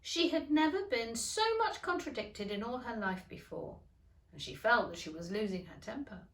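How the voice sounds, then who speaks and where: A woman reading a children's storybook aloud in an expressive narrating style. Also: a little high, moderate pace, distant mic. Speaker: teacher reading to pupils. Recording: echoey room.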